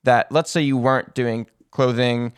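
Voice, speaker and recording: A man hosting a podcast, asking questions in a relaxed way, leading in with fillers. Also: mid-range voice, moderate pace, clear, resonant tone, dry recording, studio quality. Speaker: podcast host. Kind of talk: casual interview.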